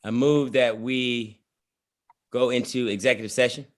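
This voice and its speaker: A man's voice: mid-range voice, fairly even intonation, measured pace. A man taking part as a director in a virtual board meeting, making and seconding motions somewhat informally. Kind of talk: informal participation in a formal meeting.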